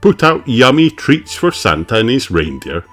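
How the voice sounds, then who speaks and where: A man reading a children's storybook in an unhurried, expressive way. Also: medium pitch, soft background music. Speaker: audiobook reader.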